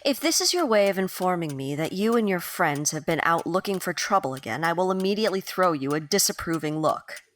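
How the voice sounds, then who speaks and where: A woman's voice: fairly low pitch, animated, brisk, hardly any pauses, lightly acted. A woman playing a wry, composed Victorian mother in an audio drama, with a formal, dry manner. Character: wry, proper middle-class Victorian mother.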